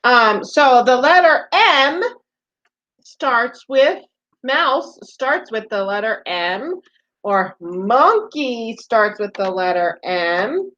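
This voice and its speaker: A woman's voice: on the high side, big pitch swings, very slow, fairly bright tone, muffled recording. A woman teaching young children, explaining each step simply and patiently with plenty of repetition. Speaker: preschool teacher. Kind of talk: children's read-aloud lesson, talking to young children.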